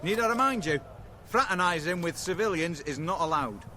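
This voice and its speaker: An actor playing a pompous, by-the-book lance corporal in a video game, scolding and self-important. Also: male voice, fairly high pitch, expressive, moderate pace, bright, forceful tone, slight background noise. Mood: stern, scolding. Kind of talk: video game cutscene acting.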